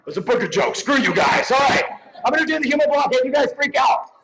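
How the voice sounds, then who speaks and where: Animated high-pitched joking stage showman. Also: fast talker, a crowd in the background, poor audio. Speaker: stage magician co-presenting an awards show. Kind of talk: live stage patter. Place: live event stage before an audience.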